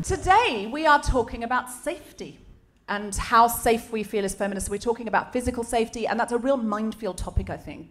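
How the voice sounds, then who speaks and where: A woman's voice: medium pitch, darkish tone. A woman in her forties with an English accent, hosting a comedy podcast on a theatre stage in front of a live audience, chatty and self-mocking. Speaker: podcast host.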